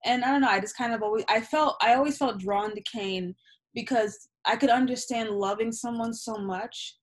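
A woman's voice: a little high, normal speed. A young woman poet, a native Yoruba speaker, talking candidly and animatedly about her writing over a video call from a small room at home. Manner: animated, gesturing, candid with swearing. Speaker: poet, interview guest. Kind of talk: casual reflective conversation. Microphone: laptop webcam on video call.

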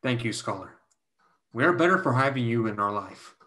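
A man playing a protective, plain-spoken young Chinese brother in a play reading over a video call, speaking in measured, formal phrases. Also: mid-range voice, animated, pushed and bright. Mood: guarded, proud. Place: home room on video call.

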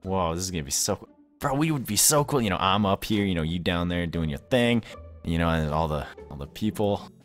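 A man playing an excitable, awkward young man in an improvised video game roleplay, stammering and giddy. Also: low voice, brisk, soft background music. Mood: nervous excitement.

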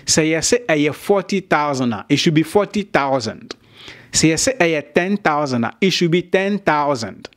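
A man's voice: fairly high pitch, animated, measured pace. A man in his thirties with a Ghanaian accent, hosting a showbiz news video and talking straight to camera from a small studio, switching between Twi and English. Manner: mixes Twi and English, talks straight to viewers. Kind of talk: direct-to-camera commentary. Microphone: studio mic on stand.

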